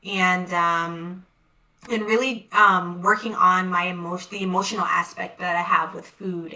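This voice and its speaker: A woman shares personal thoughts in a relaxed chat, her voice bright and forward. She sits slightly back from the microphone, so the sound is a bit distant. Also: on the low side. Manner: reflective, quick bursts. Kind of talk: casual chat.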